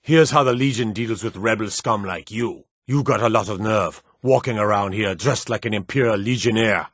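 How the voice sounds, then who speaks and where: An actor playing a blunt, suspicious city guard in a fantasy video game, with folksy, old-fashioned turns of phrase. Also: male voice, fairly low pitch, moderate pace, fairly bright tone, no echo, studio quality. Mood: stern, wary. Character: gruff, wary male city guard in a fantasy realm. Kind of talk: video game character barks.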